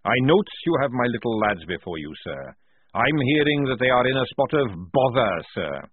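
A man plays a gruff old sergeant who barks every line in a rough, forceful roar. The voice is husky. It sounds dry and tinny, like a phone line. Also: medium pitch, very animated, moderate pace, no echo. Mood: roaring, defiant. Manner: barking, forceful, rough speech. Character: gruff loud old male army sergeant.

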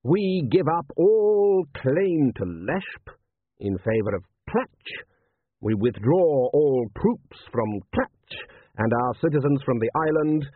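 A man voicing a calm, wily city ruler in an audiobook, measured and urbane, with drily polite understatement. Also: mid-range voice, dry recording. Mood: cheerful, bland. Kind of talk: audiobook character voice. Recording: narrow-band, phone-like sound.

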